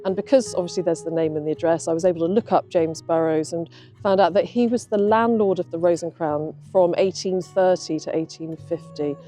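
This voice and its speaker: A woman's voice: lowish voice, a little fast, mellow, faint music behind. A woman answering questions as a guest mudlark in a casual interview outdoors by a river.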